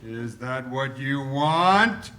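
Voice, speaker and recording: An actor playing an overeager man fired up for fantasy play, excitable and needling, in an animated comedy read. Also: medium pitch, very slow, exaggerated voice. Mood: eager. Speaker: voice actor at a live table read.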